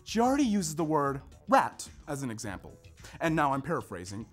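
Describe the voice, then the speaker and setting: A man performing a scripted narration in a readers theater style, with emphatic, deliberate phrasing. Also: on the high side, huge pitch swings, faint music behind. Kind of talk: scripted narration, staged recitation.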